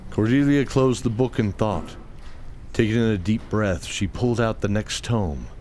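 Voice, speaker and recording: A man's voice: lowish voice, normal speed, a little mellow, slight background noise, lightly acted. A man reading scripted third-person narration for a fantasy audio drama.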